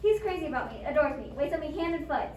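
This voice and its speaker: An anxious, self-absorbed invalid wife in a suspense play, acted by a woman, speaks in a high voice. She is fretful and insistent, stumbling over her words. The recording is roomy and echoing, with some noise behind her. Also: expressive, fast, darkish tone. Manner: agitated, stammering, insistent. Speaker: amateur drama club actor. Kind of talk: stage adaptation of a radio suspense play. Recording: roomy echo, distant mic, background noise.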